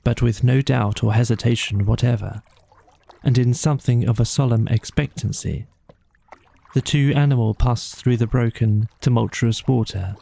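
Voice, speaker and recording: A man narrating a bedtime storybook in a measured, solemn, literary manner. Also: mid-range voice, gravelly, dark tone. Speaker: audiobook narrator. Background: music.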